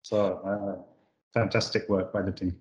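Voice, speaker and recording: A man's voice: sits low, level tone, measured pace, roomy sound, muffled recording. A man from the UK, a managing director answering interview questions with many fillers and restarts. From United Kingdom. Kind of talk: corporate podcast interview.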